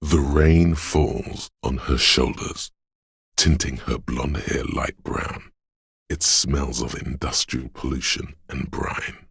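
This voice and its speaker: An actor voicing a brooding, poetic inner sense of a haunted city in solemn, measured, atmospheric game narration, very deep and gravelly. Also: male voice, fairly even intonation, fairly bright tone, dry recording, studio quality, full character voice. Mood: solemn, eerie.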